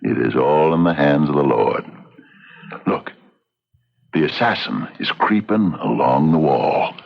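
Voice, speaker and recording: A devout frontier detective for radio drama, extremely low and husky. He speaks slowly and solemnly, calm and cryptic, with big swings in pitch. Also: heavily acted. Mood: hushed, confident. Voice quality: deep, gravelly. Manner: slow, solemn, scripture-quoting. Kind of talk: radio mystery drama acting. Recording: dull lo-fi radio recording with faint music.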